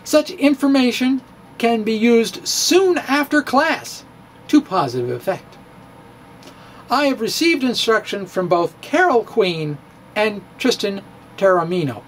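A middle-aged man giving a dramatic, comedic reading of a physics blog, halting and theatrical with emphatic pauses. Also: very high-pitched, slight background noise. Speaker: blogger, stand-up physicist. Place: indoor room with bookshelves. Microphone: handheld-style microphone on stand, close.

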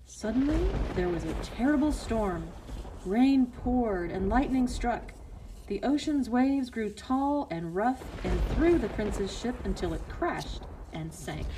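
A woman's voice: on the high side, lively intonation, slow, noisy background. A woman narrating a scripted fairy tale for children as a storyteller.

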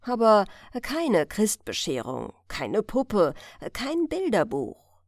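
A woman reading a fairy tale as an audiobook narrator, in an expressive, deliberate storytelling manner. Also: medium pitch, dry recording, studio quality.

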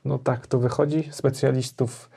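A man's voice: medium pitch, level tone, normal speed, vocal fry, mellow, slightly rough. A man in his thirties chatting casually as co-host of a music gear show. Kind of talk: casual chat. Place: small home music studio, indoors.

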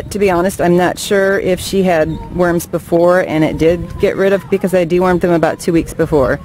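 A woman's voice: lowish voice, darkish tone, slight background noise, poor audio. A woman keeping backyard chickens, talking in a plain, matter-of-fact way with some ums as she explains, filmed outdoors on a handheld camera. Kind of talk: casual informational vlog.

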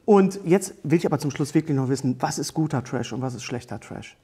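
Higher-pitched man hosting a casual talk show. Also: lively intonation, a little fast, warm tone, husky. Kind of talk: casual chat. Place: small studio set, indoors, at a table.

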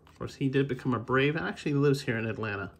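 Slightly husky American man chatting casually. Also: medium pitch, quick pace. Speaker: video host, baseball card collector. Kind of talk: casual unscripted chat, commentary while opening card packs.

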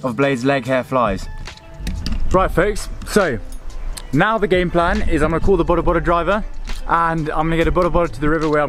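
A man's voice: on the high side, fairly bright tone. Young guy chatting to the folks at home, camera at arm's length, bit of music underneath. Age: young adult. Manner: chatty, addresses viewers as folks and guys. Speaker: fishing channel host. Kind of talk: casual vlog tutorial. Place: outdoors by a river. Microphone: handheld camera at arm's length.

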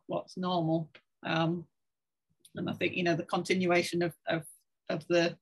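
A woman's voice: on the low side, vocal fry, slightly rough. A woman speaking as a panelist on an online discussion, reflective and hesitant with many fillers. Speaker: panelist, researcher. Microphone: video call laptop mic.

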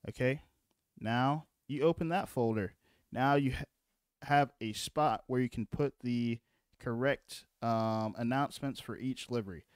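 Man, smooth clear voice, dry close sound, informal tutorial delivery, frequent check-ins. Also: mid-range voice, no room sound. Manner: informal, repeatedly checking in with "okay" and "all right". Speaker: tutorial presenter. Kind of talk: casual screen-recorded software walkthrough.

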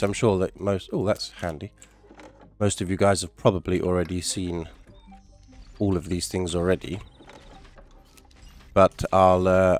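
A man with a stuffy, nasal voice from a cold, chatting casually while commentating a survival game. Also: low voice. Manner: chatty, rambling, mild swearing. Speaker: gaming YouTuber.

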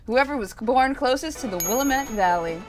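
Young woman, American, high-pitched, animated intonation, slangy host chat, music playing behind. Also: a little fast. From Virginia, United States. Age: young adult. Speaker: host of a board game show. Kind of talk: casual, slangy banter over a board game. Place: indoor studio room at a table.

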